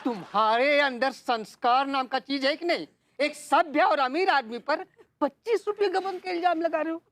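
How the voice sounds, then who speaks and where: Actor as high-pitched pompous schoolteacher. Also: male voice, brisk. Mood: offended. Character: pompous, offended schoolteacher defending his honour. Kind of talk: broad Hindi sitcom acting.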